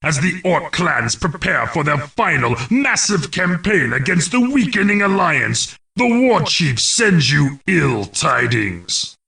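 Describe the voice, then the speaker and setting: A man's voice: a little high, sing-song, takes it slowly, few pauses, bright, forceful tone, far from the mic, full character voice. A man playing a grim, imperious orc who narrates fantasy game war briefings in a growling, commanding, theatrical way. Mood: ominous. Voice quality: gravelly, growling.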